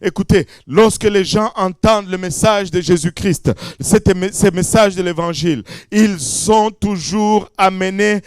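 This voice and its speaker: A man from Congo preaching a Pentecostal sermon, fervent, with frequent alleluias and shouted climaxes. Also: sits high, measured pace, hardly any pauses, smooth, no room sound, studio quality. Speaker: pastor.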